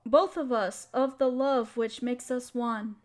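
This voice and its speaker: A woman acting as a loving young wife with a high voice and a slow, lingering pace. She is tender and playfully teasing, speaking intimately to her partner as in a girlfriend roleplay audio. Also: fairly even intonation. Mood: tender, reciting.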